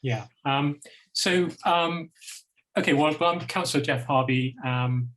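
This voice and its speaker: A man's voice: fairly high pitch, slow, a bit bright, poor audio. A man speaking as a local councillor at an online event, hesitating with ums.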